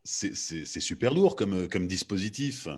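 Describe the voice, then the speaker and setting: A man with a deep voice hosts a panel discussion. He puts his questions together as he goes, hesitating and repeating small words on the way. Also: lively intonation, unhurried, darkish tone, slightly rough. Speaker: host, moderator.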